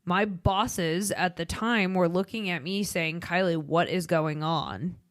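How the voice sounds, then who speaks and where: This is a woman in her thirties with a Chicago accent, talking on a podcast in a frank, emphatic way. Her voice sits a little low, and the recording is close and dry. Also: takes it slowly, no echo. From Midwestern United States. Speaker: podcast co-host. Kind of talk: casual podcast chat.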